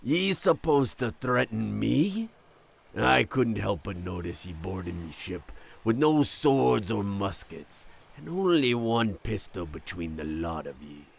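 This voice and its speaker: A man in an audio drama playing a gruff, commanding sea captain with a raspy, measured delivery. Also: lowish voice, sing-song, heavily acted. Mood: gruff, guarded. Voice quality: raspy, gravelly. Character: gruff, wary old-fashioned sea captain of a lumber ship. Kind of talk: cinematic audio roleplay acting.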